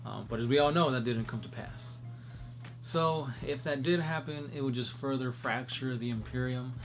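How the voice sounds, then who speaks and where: Man, co-host, casual chat, relaxed and chatty, music in the background. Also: medium pitch, vocal fry. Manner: relaxed, chatty, many fillers. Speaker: podcast co-host. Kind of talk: casual chat answering fan questions.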